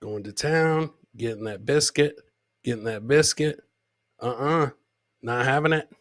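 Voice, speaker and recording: A man's voice: mid-range voice, unhurried, fairly bright tone, dry recording. Middle-aged man, dry close-sounding comedy host. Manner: informal, swearing, repeats phrases for emphasis. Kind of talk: casual live-stream comedy chat.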